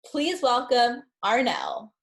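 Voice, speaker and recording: A woman's voice: a little high, wildly swooping pitch, slow, gravelly, soft background music. A young woman hosting an interview show, reading an earnest introduction and asking questions.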